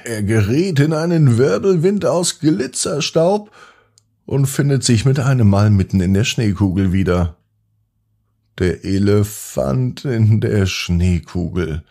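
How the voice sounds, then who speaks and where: A man hosting a children's podcast, narrating expressively and gently with drawn-out emphasis. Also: medium pitch, animated, creaky. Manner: gentle, drawn-out emphasis, addressing young listeners. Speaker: children's podcast host and storyteller.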